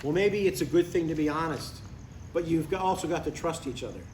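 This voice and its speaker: A man's voice: a little high, brisk, darkish tone, close to an everyday voice. An older man playing a blunt, devout father in a live comedy table read, matter-of-fact and mildly exasperated. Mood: casual, earnest. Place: live reading in a room. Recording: roomy, distant live recording with faint noise.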